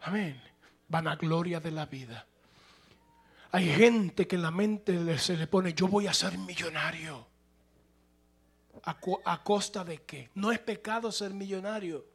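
A male pastor preaching a sermon, moving between plain conversational talk and loud, shouted passages. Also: high voice, unhurried, creaky, pauses often, husky.

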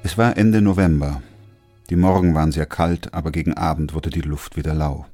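An actor playing a grave, composed doctor who narrates a chronicle in a radio play, sober and measured. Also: male voice, extremely low pitch, fairly even intonation, mellow, faint music behind.

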